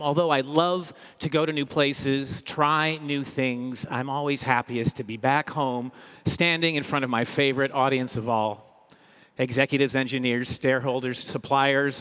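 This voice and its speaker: A man's voice: a little high, clear, resonant tone. Upbeat male event host in echoey hall. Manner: upbeat, energetic, joking. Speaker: comedian hosting a corporate event. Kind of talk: humorous live stage presentation. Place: large indoor event hall, live audience. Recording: echoey big hall, long reverb.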